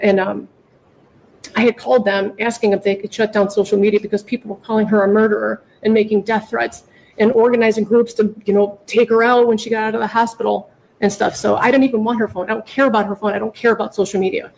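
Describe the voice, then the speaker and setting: A woman's voice: mid-range voice, little pitch movement, fast, vocal fry, a little mellow, a little hiss or hum, rough recording. A middle-aged woman making an emotional, unscripted plea to a judge, emphatic and often repeating herself. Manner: pleading, repetitive, emphatic. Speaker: mother pleading in court for her daughter.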